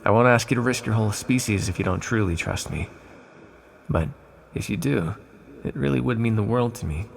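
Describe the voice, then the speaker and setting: An ancient, accursed villain, acted by a man for an ASMR roleplay, speaks in a low voice thick with gravelly fry. The delivery is measured and formal, with hesitant confidences. Menace sits beside a tender, calm temper. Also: slight background noise. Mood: wry, fond.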